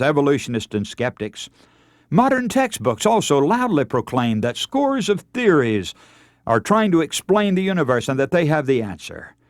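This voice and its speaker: A man preaches a radio sermon in an earnest, oratorical way. His pitch moves widely as he stresses key words with deliberate enunciation. The sound is dry and close, with very little room in it. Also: no echo. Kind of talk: radio sermon, oratorical lecture with scripture readings.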